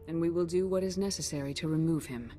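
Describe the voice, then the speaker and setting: A woman's voice: low voice, quick pace, mellow, in a large hall, faint music behind, close to an everyday voice. An actress playing a composed, grave female Jedi grand master in a video game. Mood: composed, authoritative. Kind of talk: video game cutscene acting.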